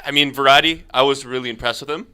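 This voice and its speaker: A man's voice: medium pitch, steady tone, fast talker, bright, forceful tone, clean tone. A man hosting a sports podcast, speaking confidently and emphatically with firm opinions. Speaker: podcast host. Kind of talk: casual sports chat.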